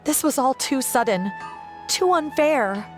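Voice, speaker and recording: A gentle, worried game mother. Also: high voice, animated, measured pace, over music. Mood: sorrowful. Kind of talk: scripted video game dialogue.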